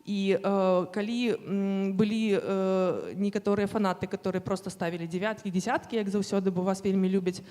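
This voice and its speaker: Moderator, woman, mid-pitched, hesitant with fillers, long hall reverb. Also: moderate pace, hardly any pauses. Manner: hesitant, many fillers, lively questions. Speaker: presenter and moderator. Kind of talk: moderating a book presentation. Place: festival hall.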